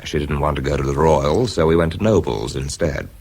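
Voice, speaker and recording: An actor playing a weary, stubborn government scientist in a radio drama, with a British accent and a clipped, understated delivery. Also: male voice, rumbling low, fairly quick, a little mellow, breathy edge, exaggerated voice. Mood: dry, downcast. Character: weary, stubborn, prickly male government scientist narrating his story. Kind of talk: radio drama acting with first-person narration.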